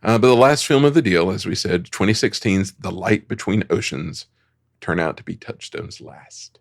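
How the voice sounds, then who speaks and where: A man's voice: deep voice, moderate pace, vocal fry, no room sound, clean studio sound. A man giving an informal history talk as a podcast panelist, conversational with dryly wry asides.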